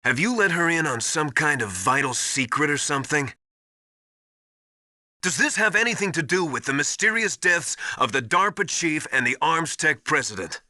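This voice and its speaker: An actor playing a stern, suspicious military mentor in a video game, deliberate and accusing. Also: male voice, on the high side, expressive, no echo, clean studio sound. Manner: deliberate, forceful. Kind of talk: dramatic video game voice acting.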